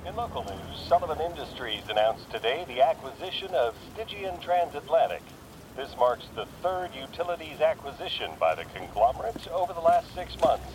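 Period radio announcer: official, brisk, swooping delivery, busy background sound. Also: male voice, a little high, very animated, a crowd in the background, rough recording. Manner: clipped, official. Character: brisk, formal 1930s radio newsreader. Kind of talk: 1930s radio news announcing.

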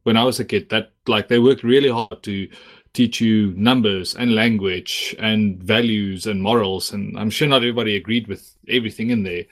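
A man's voice: fairly low pitch, fairly even intonation, normal speed, a bit bright. A man from South Africa, a native Afrikaans speaker, hosting a casual podcast in a chatty, rambling way with many likes. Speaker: podcast host.

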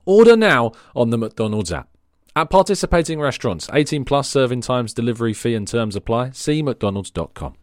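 A man reading a scripted advert, upbeat, then running through a quick disclaimer. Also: mid-range voice, sing-song, no room sound. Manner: upbeat, ends with a rapid legal disclaimer. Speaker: advert voice-over. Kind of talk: scripted radio ad read.

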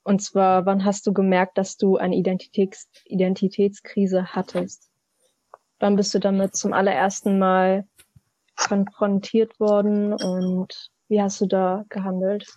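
A woman in her twenties from Hamburg, calmly moderating a podcast and putting questions to the group. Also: medium pitch, measured pace, no room sound. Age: 20s. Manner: calm, asks questions, even delivery. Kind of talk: casual podcast chat. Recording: dull, dry lo-fi sound.

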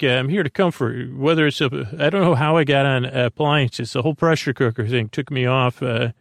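A man hosting a bedtime podcast in a creaky voice, rambling in a halting, meandering way and often trailing off mid-thought. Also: medium pitch, dry recording, clean studio sound. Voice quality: gravelly, creaky. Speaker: host of a bedtime sleep podcast. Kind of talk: rambling, soothing monologue. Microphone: close podcast microphone, dry.

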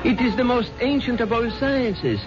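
Grave cult guru, notably high voice, measured sermon delivery, muffled 1970s radio with music. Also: male voice. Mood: solemn preaching. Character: impressive, solemn male guru preaching eternal life. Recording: dull lo-fi 1970s radio broadcast, music behind.

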